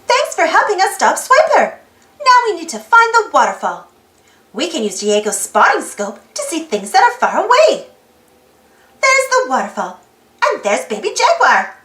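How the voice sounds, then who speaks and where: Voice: extremely high pitch, huge pitch swings, moderate pace, sharp tone, echoey room. A storybook reader with an exclaiming manner, reading aloud for children and prompting young listeners with questions. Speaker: storybook reader and channel host.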